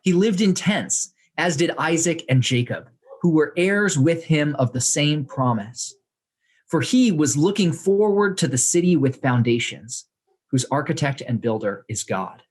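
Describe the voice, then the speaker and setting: A young adult man from the United States, reading aloud from his own book to an audience in a measured way with dry humor. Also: fairly high pitch, darkish tone, husky. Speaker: author reading and speaking to an audience.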